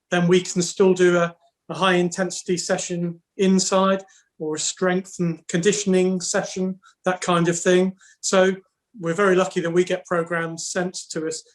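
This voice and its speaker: A man from the United Kingdom giving measured, step-by-step answers as a guest on a video webinar. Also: high voice. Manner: measured and steady, explaining step by step. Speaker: assistant referee guest. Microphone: video call.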